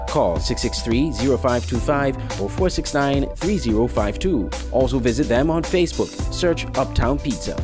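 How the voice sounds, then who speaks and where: Male announcer, average pitch, scripted promotional read, prominent music bed.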